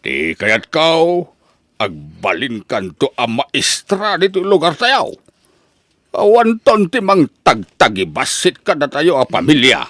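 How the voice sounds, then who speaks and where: A man's voice: fairly high pitch, huge pitch swings, slow pace, fairly bright tone, no room sound, studio quality, heavily acted. A man with an Ilocano accent playing a doting, hardworking poor father, earnest and emphatic, in an audio drama. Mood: earnest, hopeful. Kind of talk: Ilocano radio-style audio drama acting.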